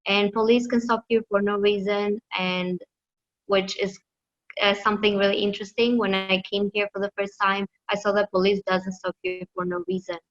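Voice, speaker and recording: A woman giving a class presentation with slides, pausing often with fillers and restarting her sentences. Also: mid-range voice, gravelly, muffled recording. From Greece. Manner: hesitant, many fillers and restarts. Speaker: student presenter.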